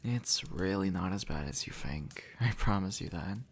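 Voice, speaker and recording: Man playing a low, gravelly, easygoing demon. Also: lively intonation, normal speed, slight background noise. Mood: reassuring. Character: easygoing two-century-old male demon, formerly human, reassuring and teasing. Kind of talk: intimate ASMR audio roleplay, addressed to the listener.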